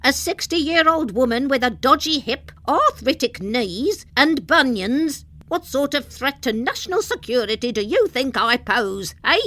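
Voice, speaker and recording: A woman's voice: sits high, animated, unhurried, hardly any pauses, a bit bright, full character voice. A woman playing an indignant, plain-spoken cleaning woman of sixty in a comedy audio drama. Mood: indignant, baffled. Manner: indignant, colloquial storytelling.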